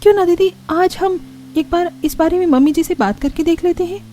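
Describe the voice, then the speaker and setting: Woman acting a high-pitched whiny young daughter-in-law. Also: brisk, dark tone, faint music behind. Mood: eager, coaxing. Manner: complaining, dramatic, coaxing. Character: aggrieved young daughter-in-law, whining and eager.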